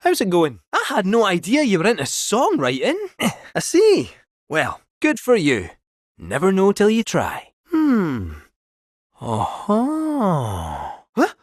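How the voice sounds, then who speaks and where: A man's voice: wildly swooping pitch, slow, no echo, clean studio sound, exaggerated voice. A man voicing a chatty, scheming male robot cat in a game, with a Scottish accent and a jaunty, theatrical delivery. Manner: jaunty, theatrical, swooping pitch. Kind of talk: video game voice acting.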